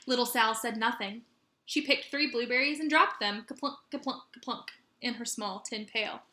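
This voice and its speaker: Bright, higher-voiced woman reading to children. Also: moderate pace. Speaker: teacher reading aloud. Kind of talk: expressive children's story read-aloud. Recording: roomy echo.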